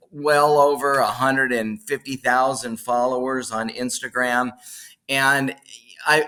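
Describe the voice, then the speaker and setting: A man hosting an interview podcast, speaking off the cuff and haltingly, often repeating words and restarting phrases. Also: medium pitch, pushed and bright. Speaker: podcast host, bookstore owner. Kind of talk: unscripted podcast introduction and interview.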